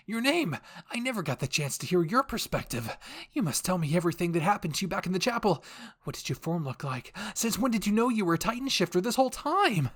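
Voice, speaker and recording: A man putting on an excitable, high-pitched eccentric scientist. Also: fast talker. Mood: excited, prying. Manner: gushing, insistent, theatrical.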